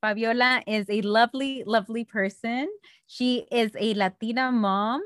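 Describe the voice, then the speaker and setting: A woman's voice: on the high side, fairly even intonation, normal speed, fairly bright tone, no room sound, studio quality. A woman hosting a podcast in casual chat, dropping Spanish phrases into her English. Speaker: podcast host.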